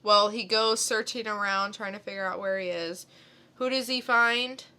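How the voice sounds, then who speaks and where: A woman co-hosting a podcast, giving a casual, conversational and halting recap of a story. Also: mid-range voice, steady tone, bright, forceful tone, clean tone. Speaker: podcast co-host. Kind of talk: casual comic plot recap.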